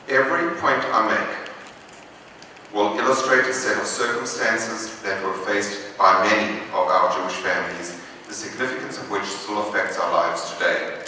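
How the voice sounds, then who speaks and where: A man giving a prepared, read-aloud presentation in a lecture hall, measured and deliberate, slowing down for emphasis. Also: on the low side, bright, forceful tone. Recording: echoey hall from far off with faint noise.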